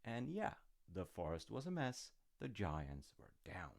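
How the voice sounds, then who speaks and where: A man's voice: deep voice, wildly swooping pitch, measured pace, creaky, a little mellow. A man retelling a fairy tale in a casual, rambling way with informal, wry asides. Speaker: storyteller dad character in audio roleplay.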